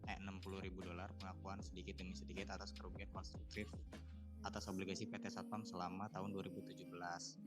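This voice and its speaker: A man giving a student presentation in a halting, hesitant way. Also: low voice. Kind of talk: presentation explaining accounting slides. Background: guitar music.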